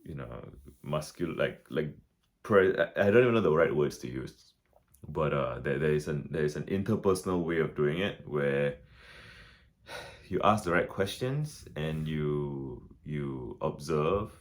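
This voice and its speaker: A man talking through his thoughts in a casual reflective vlog, with a deep relaxed voice, many restarts and fillers. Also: measured pace. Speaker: vlogger.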